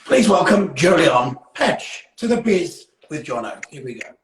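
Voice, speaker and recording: A man with a gravelly voice hosting a casual live music interview in an effusive, exclaiming manner. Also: fairly high pitch, very animated, unhurried, reverberant. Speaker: interview show host. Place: indoors, live social media stream.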